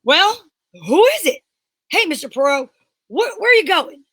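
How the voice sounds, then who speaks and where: Radio inspector, female performer: male, flustered and exclamatory, very high-pitched, bright, chatty delivery. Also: sing-song, moderate pace, dry recording, heavily acted. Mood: puzzled. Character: flustered male police inspector, bluff and puzzled. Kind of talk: old-time radio detective drama acting.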